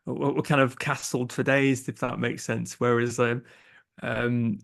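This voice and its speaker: A man's voice: mid-range voice, flat delivery, unhurried, creaky, a bit bright. A young man with an English accent, a podcast producer chatting as a guest in a casual interview, hesitant, with many fillers and restarts. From England.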